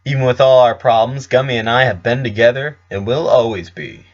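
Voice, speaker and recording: A man reads a scripted tale as its narrator. His pitch is middling and the delivery is that of storybook reading. The recording sounds a little dull and lo-fi. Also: fairly quick. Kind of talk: storybook-style fan fiction reading.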